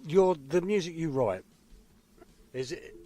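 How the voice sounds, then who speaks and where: A man with a deep baritone voice, casually asking interview questions at a studio microphone. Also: expressive, a little slow, dark tone, a little hiss or hum. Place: radio studio.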